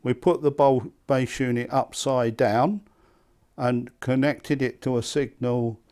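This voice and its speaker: Older English man, halting and conversational. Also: mid-range voice, steady tone, a little mellow. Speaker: loudspeaker maker being interviewed. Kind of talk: conversational reminiscence in an interview. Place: small indoor hi-fi demonstration room. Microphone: lapel mic.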